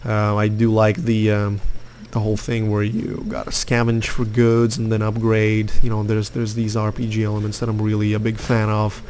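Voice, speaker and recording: A man's voice: on the low side, completely flat delivery, normal speed, hardly any pauses, darkish tone, a little hiss or hum. A young man from Lithuania giving rambling, opinionated commentary over his gameplay, with lots of fillers. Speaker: gaming youtuber.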